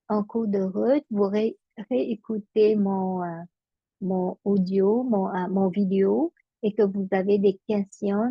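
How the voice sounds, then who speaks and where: Middle-aged woman from vietnam, coaching webinar, lots of euhs, slow and halting, dry lo-fi computer mic. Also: mid-range voice, dry recording. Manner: hesitant, many "euh" fillers and restarts. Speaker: numerology and business coach. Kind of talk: casual solo webinar recording.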